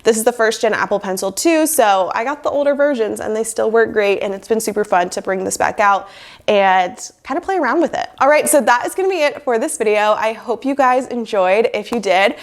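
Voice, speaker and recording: A woman's voice: fairly high pitch, lively intonation, brisk. Young woman, twenties, nasal from a cold, upbeat casual vlog chat, filler words, small indoor room, lapel mic. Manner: chatty, upbeat, frequent "like" fillers. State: sick with a cold. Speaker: lifestyle vlogger. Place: small bedroom office indoors. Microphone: lapel mic plugged into a phone.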